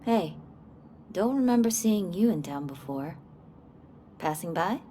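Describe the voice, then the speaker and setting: A woman's voice: on the low side, animated, measured pace, background noise. A woman playing a sarcastic, jaded small-town local in a video game. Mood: wry, bitter.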